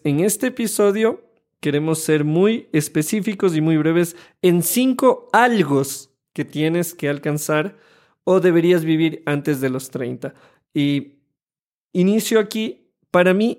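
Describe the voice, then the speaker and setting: A man in his thirties from Ecuador with an Ecuadorian accent, co-hosting a casual podcast in a studio and acting out the people he quotes. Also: high voice. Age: 30s. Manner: animated, acts out quoted people, slows to stress points. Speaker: podcast co-host. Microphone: studio microphone.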